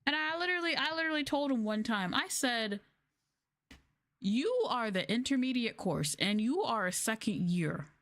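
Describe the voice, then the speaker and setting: A woman's voice: a little high, lively intonation, moderate pace, fairly bright tone. A woman chatting casually on a livestream, telling stories in informal, slangy language with an exasperated manner. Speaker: livestreamer and former English teacher.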